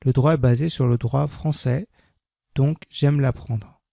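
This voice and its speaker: A man reading a first-person French text slowly and clearly for learners, repeating each sentence. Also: medium pitch, fairly even intonation, dark tone, no echo, clean studio sound. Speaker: French language teacher. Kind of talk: slow scripted reading for learners.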